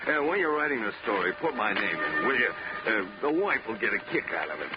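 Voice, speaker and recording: An actor playing a slow-witted, eager, plain-talking working-class man in a 1940s radio drama. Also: fairly low pitch, very animated, over loud music. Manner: simple, eager, informal. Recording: dull noisy old radio recording.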